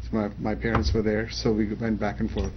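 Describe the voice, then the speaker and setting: Fifties male guest, founder type, casual and halting, slightly muffled studio sound. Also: fairly low pitch, completely flat delivery, slight background noise. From Pakistan, raised in Saudi Arabia. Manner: hesitant, many fillers and restarts. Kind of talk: casual interview answers.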